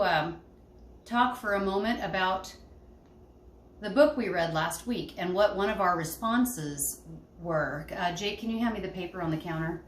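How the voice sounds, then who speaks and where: A woman's voice: medium pitch, a little hiss or hum. A woman teacher reading a picture book aloud expressively and talking to children, explaining things simply. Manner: explains words simply, animated storytelling.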